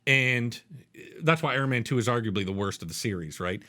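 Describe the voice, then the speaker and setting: Male podcast co-host, bright and animated, swears casually. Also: mid-range voice, brisk. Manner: animated, profane, acts out quotes. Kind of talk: casual review chat.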